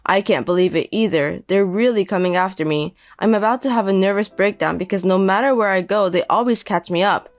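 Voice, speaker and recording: A woman voicing a 57-year-old indebted deadbeat father who pleads and pities himself, speaking slowly. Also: medium pitch, dry recording, clean studio sound, natural voice. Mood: pleading. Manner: pleading, self-pitying, slow.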